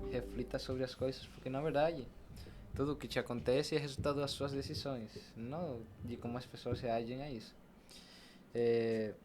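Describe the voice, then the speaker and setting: A young Brazilian man speaks as a podcast guest in a medium-pitched voice. He recounts personal experiences casually, slipping into quoted dialogue as he goes. There is noticeable background noise around him. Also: darkish tone. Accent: Brazilian Portuguese. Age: young adult. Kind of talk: casual personal storytelling in an interview.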